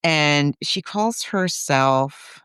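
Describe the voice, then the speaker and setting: A woman hosting a podcast in casual conversation, rephrasing as she goes in an animated, halting way. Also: low-pitched, clean tone, no room sound. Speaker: podcast host. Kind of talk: casual film and book discussion.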